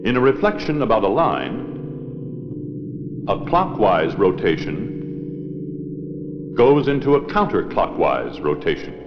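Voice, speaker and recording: A man narrating an educational film from a script in a measured, deliberate, precise manner. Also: lowish voice, very animated. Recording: dull old 16mm film soundtrack, long reverb, loud music.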